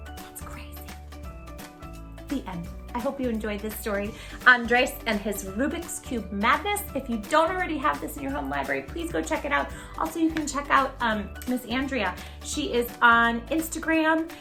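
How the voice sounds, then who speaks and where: A woman hosting a children's read-aloud, expressive and encouraging, emphasising words and chatting directly to young listeners. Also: sits high. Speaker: storybook reader, channel host.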